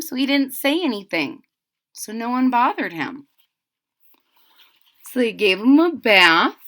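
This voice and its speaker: A woman reading a picture book aloud to young children, expressive and stopping to explain in simple words. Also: mid-range voice. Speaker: storybook reader.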